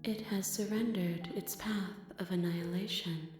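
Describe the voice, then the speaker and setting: An actress playing a vast, calm, ancient otherworldly being in a video game, speaking slowly and solemnly. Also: female voice, fairly low pitch, lightly acted. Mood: serene, solemn. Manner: slow, solemn, cryptic. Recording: echoing voice over music.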